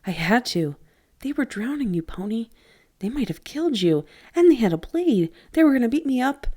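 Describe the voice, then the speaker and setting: A woman voicing a scared teenage boy who speaks low and steady, sometimes quavering. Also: normal speed, close to an everyday voice.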